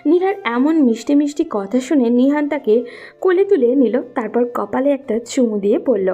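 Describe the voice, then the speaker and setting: A woman's voice: high voice, lively intonation, normal speed, dark tone, highs cut off, soft background music. A woman narrating a scripted romantic story as a storyteller.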